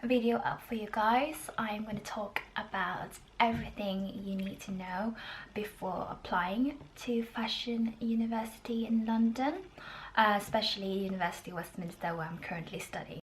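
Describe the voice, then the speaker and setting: A woman, giving a casual, informative vlog in a halting way with drawn-out pauses. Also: mid-range voice, fairly even intonation, slight background noise, poor audio. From Norway. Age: 20s. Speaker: student video blogger.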